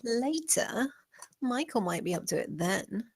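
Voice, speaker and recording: Slow, teasing, possessive lover, woman acting. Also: on the high side, very animated, breathy edge, dry recording, clean studio sound, exaggerated voice. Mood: pouting, coy. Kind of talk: film script table read.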